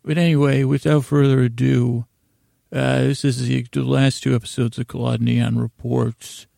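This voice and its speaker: Gravelly, creaky man, rambling podcast host. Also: mid-range voice, level tone, dark tone, dry recording, clean studio sound. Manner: meandering, halting, repeats and trails off mid-thought. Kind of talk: rambling, informal sleep podcast intro.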